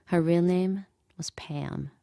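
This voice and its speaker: An actress playing a watchful handmaid, narrating her captive life in a measured, intimate way for an audio drama. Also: lowish voice, gravelly, warm tone, no room sound, studio quality. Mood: wry recollection.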